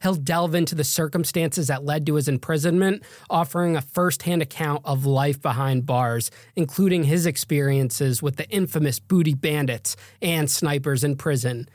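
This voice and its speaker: A man's voice: on the high side, moderate pace, few pauses, darkish tone, no echo, studio quality. A man hosting a podcast, chatting casually and loosely with his guest, with plenty of fillers. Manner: chatty, hesitant with many fillers. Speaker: podcast host. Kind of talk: casual interview chat.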